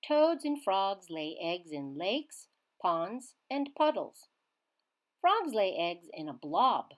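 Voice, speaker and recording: A woman reading a children's science book aloud in a teacherly way, emphasizing key words with simple, expressive phrasing. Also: mid-range voice, slow pace. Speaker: children's read-aloud reader.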